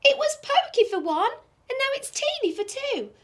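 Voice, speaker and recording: A woman's voice: very high voice, sing-song, normal speed, fairly bright tone, pitched above the performer's own voice, full character voice. A woman voicing a flustered, grumbling little old lady in a storybook, exclaiming and pleading dramatically. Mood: distressed.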